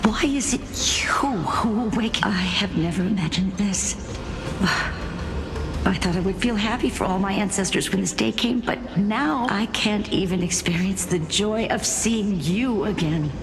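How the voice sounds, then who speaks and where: A woman voicing a dignified, loving grandmother who is the spirit of a warrior in a story game, solemn and measured. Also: medium pitch, lightly acted. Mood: bewildered, tender. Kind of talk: voiced game story dialogue. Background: music.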